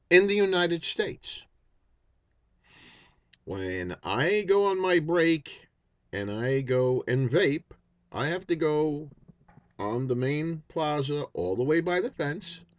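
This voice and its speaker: A man in his fifties holds forth in a casual vlog, blunt and profane, stumbling over restarts as he goes. His pitch swings widely from phrase to phrase. The sound is dry and close, with no room echo. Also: dull audio. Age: 50s. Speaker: vaping YouTuber. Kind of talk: unscripted vlog rant.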